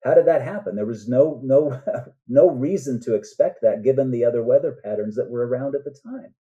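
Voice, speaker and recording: An older man speaking earnestly and deliberately as a novelist guest in a reflective podcast interview. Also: mid-range voice, mellow. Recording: dull, muffled lo-fi sound.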